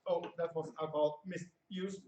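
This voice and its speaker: A man's voice: a little high, steady tone, normal speed, mellow. A man hosting a casual convention panel, hesitant and full of fillers and restarts. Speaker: panel host. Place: convention hall room. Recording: roomy, dull room audio with faint noise.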